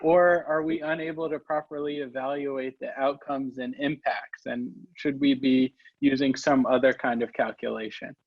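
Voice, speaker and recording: Guy moderating, reading a viewer question steady and calm, kinda muffled call audio. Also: mid-range voice, normal speed, few pauses. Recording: dull lo-fi audio.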